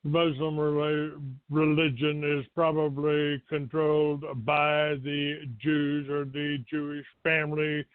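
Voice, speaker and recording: An older American man from Texas hosting a talk show, giving a rambling, halting monologue to his listeners. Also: on the high side, monotone, smooth. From Texas, United States. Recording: roomy, tinny phone-like line.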